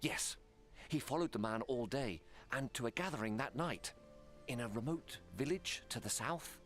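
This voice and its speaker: A man acts out a smooth-talking intriguer from a fantasy game. He is polite and confiding, with animated intonation at a middling pitch. Faint music plays behind him. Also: normal speed, natural voice. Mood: courteous, confiding. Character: smooth-talking, courteous male council intriguer. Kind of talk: fantasy video game voice acting.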